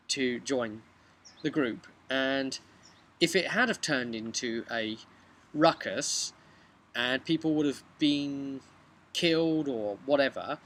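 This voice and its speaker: Bright-voiced British man recapping a game. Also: mid-range voice. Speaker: game master recapping a session. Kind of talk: casual storytelling recap of a tabletop role-playing game. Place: outdoors, on a bench by a house. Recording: outdoor webcam with background noise.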